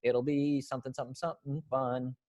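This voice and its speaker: A man's voice: medium pitch, flat delivery, fairly quick, warm tone. An American man in his thirties casually directing readers on a video call. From United States, Springfield, Missouri. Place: indoor room, on a video call.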